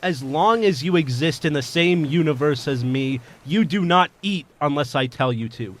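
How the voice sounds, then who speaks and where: A man's voice: on the high side, expressive, moderate pace, close to an everyday voice. A man playing a ruthless armed bank robber in an audio drama, speaking in a domineering, commanding, menacing way. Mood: cold menace.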